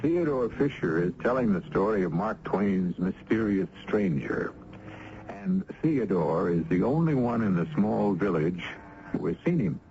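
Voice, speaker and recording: A man's voice: deep voice, very animated, measured pace, gravelly. A man hosting a radio drama with scripted narration, knowing and theatrical. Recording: muffled, noisy old radio recording.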